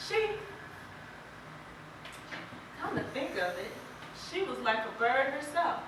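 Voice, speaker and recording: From far off in a very echoey hall comes a woman playing a folksy farm wife on stage. She speaks in a high, swooping voice, plain and emphatic, full of regret and protective of her neighbor. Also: very animated, normal speed, noisy background. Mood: wistful. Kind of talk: stage play acting, early 1900s rural American drama. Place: stage performance recorded from audience distance. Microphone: distant camera.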